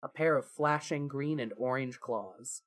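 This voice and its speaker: A narrator reading scripted fan fiction as a dramatic story, with deliberate pauses. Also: medium pitch.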